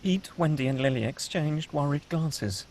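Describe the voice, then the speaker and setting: A man's voice: a little high, lively intonation, normal speed, darkish tone, a little hiss or hum. A man reading screenplay action and scene headings as the narrator of a table read.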